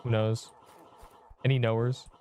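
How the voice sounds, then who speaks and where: A man's voice: medium pitch, little pitch movement, unhurried, darkish tone, slight background noise. A young man from Canada with a subtle Canadian accent, chatting casually and rambling while streaming a game. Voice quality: rambling, self-interrupting chatter. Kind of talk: casual live-stream chat while gaming.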